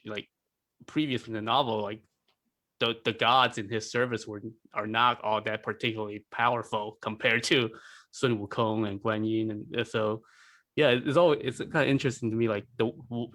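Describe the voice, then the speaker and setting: A man's voice: medium pitch, a bit bright. Mid-range guy chatting on a podcast, hesitant, lots of little fillers. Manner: hesitant, frequent "like" and "you know". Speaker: podcast guest. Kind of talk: casual discussion.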